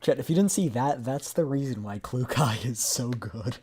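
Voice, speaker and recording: A man with a dark, soft-edged voice hosts a game readthrough. He moves between narration and casual asides, at a medium pitch. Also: lively intonation, moderate pace, husky. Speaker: streamer.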